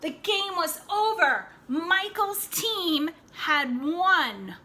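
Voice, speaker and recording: Bright-voiced woman reading a storybook aloud. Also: very high voice, very slow. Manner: animated, dramatic delivery that explains things to young listeners. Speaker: teacher reading a picture book aloud to children. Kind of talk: expressive read-aloud storytelling with asides.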